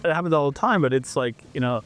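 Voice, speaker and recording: A man's voice: fairly high pitch, fast. A man from Canada, chatting as an interview guest and acting out little exchanges as he tells them. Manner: animated storyteller who acts out quoted exchanges. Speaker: interview guest, foreigner living abroad. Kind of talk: casual interview chat.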